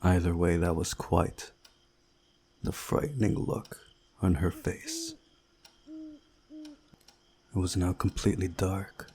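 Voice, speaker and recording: A man reading a visual novel aloud as a playthrough host, in a measured, deliberate way with pauses. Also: sits low, wildly swooping pitch, warm tone. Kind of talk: scripted narration of a visual novel with commentary.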